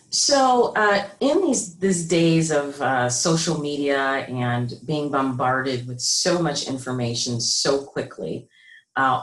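A middle-aged American woman with a rich, low voice, explaining her work in a measured, conversational interview style on a video call. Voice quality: rich, deep, low register. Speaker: guest, business consultant. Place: small room indoors at home or office. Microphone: computer mic on a video call. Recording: roomy, echoey video call sound.

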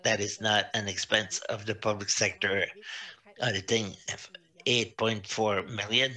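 A man presenting financial results to a council, reading out figures with many pauses and fillers. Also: lowish voice, sharp tone. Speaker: auditor presenting financial statements. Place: municipal council meeting over video link.

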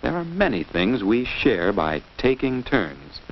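An American man narrates a 1950s instructional film for children in a lowish, very animated voice. He speaks didactically from a script, posing simple questions to his young audience. The old soundtrack is dull and muffled. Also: a little slow, a little hiss or hum.

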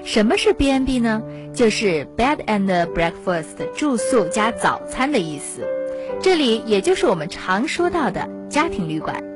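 A woman's voice: on the high side, sing-song, normal speed. A woman reading scripted narration for a television travel programme. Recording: music bed behind voice.

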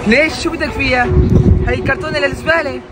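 Man acting fast-talking kind, concerned young man. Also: very high voice, steady tone, over a noisy crowd, heavy background noise. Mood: puzzled. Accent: Levantine Arabic. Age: young adult. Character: concerned, kind young rich man helping street children. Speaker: actor in short moral skit. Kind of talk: acted social media skit. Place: outdoors in an urban car park. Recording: loud music over the voice.

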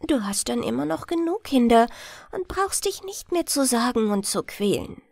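A woman voicing a strange female water nixie in a storybook, with a light, airy voice and a soft, coaxing manner. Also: fairly high pitch, very animated, moderate pace, gravelly, no echo, clean studio sound, voice pushed up, exaggerated voice.

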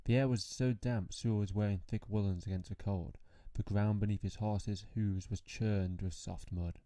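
A man's voice: lowish voice, steady tone, normal speed, warm tone, no room sound. A man reading scripted story narration. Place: close, dry voice-over recording.

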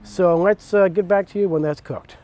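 A man's voice: high voice, normal speed, dark tone. A man in his thirties chatting casually outdoors as a host, explaining things in a conversational way. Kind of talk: casual hobby chat and cooking demonstration. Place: outdoors in a leafy backyard.

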